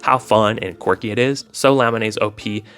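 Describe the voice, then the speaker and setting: A man's voice: on the low side, normal speed, fairly bright tone, clear, resonant tone. A man chatting casually as a video host, rambling along in an informal, filler-heavy way. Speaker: YouTube host discussing visual novels.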